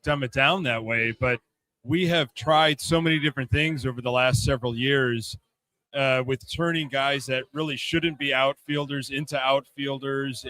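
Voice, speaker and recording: A man's voice: mid-range voice, pushed and bright, rough recording. A man giving casual sports commentary as a podcast panelist, thinking aloud in short phrases.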